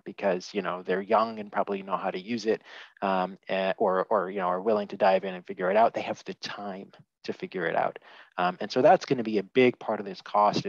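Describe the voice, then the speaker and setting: A man's voice: fairly low pitch, vocal fry, dry recording. A man on a headset in a small room, giving candid, opinionated views as a panelist in a webinar, with many fillers and restarts. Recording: dull lo-fi video call audio.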